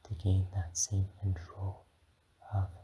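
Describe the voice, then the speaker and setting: Man as hushed, dark-voiced gentle dragon mentor. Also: deep voice, completely flat delivery, slight background noise, rough recording. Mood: soothing, instructive. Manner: slow, soothing, drifting between whisper and murmur. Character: gentle, patient young male purple dragon mentor. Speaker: guide leading a guided meditation. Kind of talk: ASMR roleplay, whispered and soft-spoken guided meditation.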